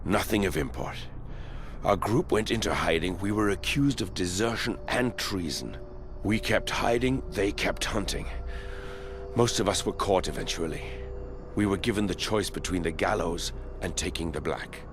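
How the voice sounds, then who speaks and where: An actor playing a wary, battle-worn soldier held prisoner in a fantasy video game, speaking in a sober, guarded, plain-spoken way. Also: male voice, low-pitched, animated, moderate pace, noisy background. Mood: grim. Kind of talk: dramatic video game voice acting.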